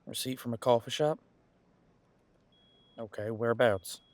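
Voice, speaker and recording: A man playing a centuries-old, easygoing detective in an audio roleplay, talking casually and folksily as he muses aloud with pauses. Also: mid-range voice, no room sound, lightly acted. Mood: musing. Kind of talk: detective roleplay audio, one side of a conversation.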